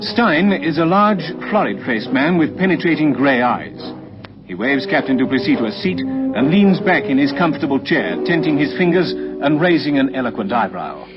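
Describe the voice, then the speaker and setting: Radio drama narrator, male actor: expressive, terse and descriptive, lo-fi sound with prominent music. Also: mid-range voice, moderate pace, close to an everyday voice. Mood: measured, dramatic. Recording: dull radio recording under loud music. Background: loud dramatic music.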